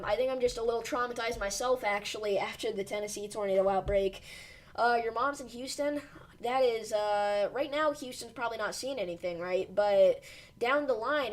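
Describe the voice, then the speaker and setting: A woman's voice: medium pitch, low-quality recording. A woman hosting a weather livestream who is losing her voice, giving urgent but reassuring storm commentary with many fillers. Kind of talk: live storm-tracking commentary.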